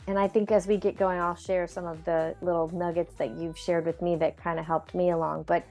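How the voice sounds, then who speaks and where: A woman's voice: on the low side, flat delivery, brisk, darkish tone, soft background music. A woman hosting her first podcast interview, enthusiastic and encouraging as she draws out her guest. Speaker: podcast host. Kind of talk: conversational interview.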